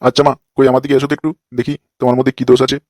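A man plays a lecherous fake sadhu in a comic cartoon. He rattles on extremely fast at a middling pitch, brash and foul-mouthed, scolding everyone in a dry, close recording. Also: level tone, a little mellow, dry recording, lightly acted.

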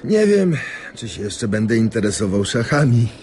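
An actor playing a smug, cynical rich chess player in a radio drama, condescending and smooth-talking. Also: male voice, mid-range voice, very animated, moderate pace, a little mellow, slight background noise, lightly acted. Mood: resigned.

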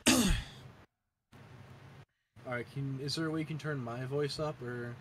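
A man's voice: mid-range voice, steady tone, measured pace, a bit bright, slight background noise, low-quality recording. A man chatting casually with friends while streaming a game, often checking the audio. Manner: hesitant, checks audio levels. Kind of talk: casual gaming chat.

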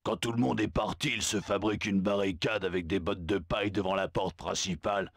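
A man voicing a sly male zoo animal who warns in a slow, hushed, conspiratorial way, in a video game dub. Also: deep voice, steady tone, dry recording, clean studio sound.

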